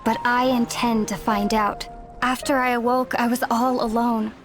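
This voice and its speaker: A woman playing a quietly determined young female traveler, telling her story in a measured, earnest way for a video game cutscene. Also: on the high side, little pitch movement, over music, close to an everyday voice. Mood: solemn resolve. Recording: long reverb on studio voice.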